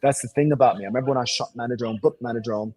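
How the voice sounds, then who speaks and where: A man's voice: medium pitch, fairly even intonation, racing, darkish tone, slightly rough, dry recording. A man from Sierra Leone who grew up in Seattle, speaking with a put-on British accent as an actor being interviewed, confident, emphatic and boastful in slangy talk. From born in Sierra Leone, grew up in Seattle. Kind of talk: animated self-promotional interview talk.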